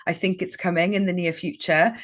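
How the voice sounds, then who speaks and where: A Welsh woman in her thirties, an author chatting warmly as an interview guest, with frequent fillers and small laughs. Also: on the low side, fairly even intonation, brisk. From Wales. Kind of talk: casual interview chat.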